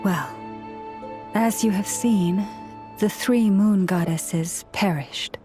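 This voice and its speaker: A woman playing a serene, modest young priestess in a video game, speaking in a measured, solemn, formal way. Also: mid-range voice, mellow, faint music behind, natural voice. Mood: solemn, reverent. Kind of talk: video game storytelling dialogue.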